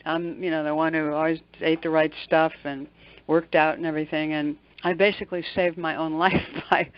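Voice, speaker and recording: A woman's voice: fairly low pitch, muffled recording. A middle-aged or older woman, a motivational coach answering as an interview guest, earnest and emphatic, often posing questions and answering them.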